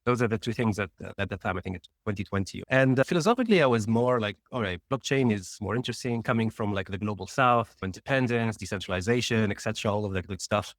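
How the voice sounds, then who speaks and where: A man from Tunisia talks fast and a little low, piling up his story with quick restarts. He is a founder guest on a casual interview show. The sound is dry and close, with no room around it. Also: dry recording.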